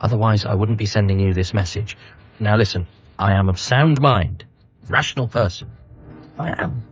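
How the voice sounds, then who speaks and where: Low-voiced, anxious British son. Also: male voice, normal speed, slightly rough, muffled recording. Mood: defensive, earnest. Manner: hesitant, wry, earnest. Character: anxious, self-deprecating grieving son working as a doctor. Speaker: narrator and lead character. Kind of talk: first-person radio comedy drama narration.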